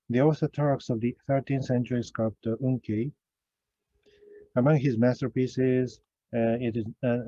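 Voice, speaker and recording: A man's voice: mid-range voice, warm tone, no room sound. Man reading a conference talk, lots of uhs and restarts, dry muffled sound. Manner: slow, careful, with frequent hesitations and self-corrections. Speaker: academic speaker. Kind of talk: recorded conference lecture.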